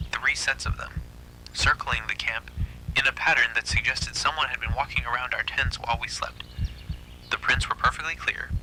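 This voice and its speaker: A man's voice: mid-range voice, pushed and bright, slight background noise, rough recording. A man narrating a scripted first-person horror story.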